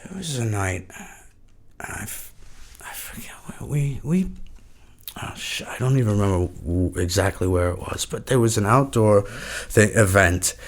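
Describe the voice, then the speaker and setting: An actor playing a washed-up, haunted rock frontman in his fifties, rambling and swearing haltingly in a mock podcast interview. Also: on the low side, expressive. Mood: wistful, rambling. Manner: halting, repetitive, rambling, profane.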